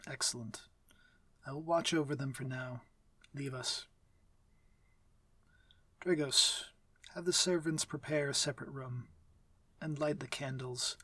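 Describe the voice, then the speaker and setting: Man voicing a husky, commanding vampire lord. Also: medium pitch, a bit bright, no room sound, full character voice. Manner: formal, measured, commanding diction. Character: courtly, aloof but civil male vampire master. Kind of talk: ASMR fantasy audio roleplay. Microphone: close, dry microphone.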